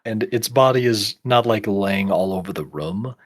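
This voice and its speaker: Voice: sits low, normal speed. A game master improvising narration for a tabletop role-playing game, casual and thinking aloud.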